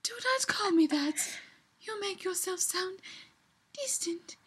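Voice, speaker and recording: A woman voicing a gentle, wistful elf woman from a fan fiction story, plaintive and melodramatic with a quavering voice. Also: very high-pitched, animated, slow, voice pushed up, exaggerated voice. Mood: soft, wistful. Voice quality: quavering, breathy at times.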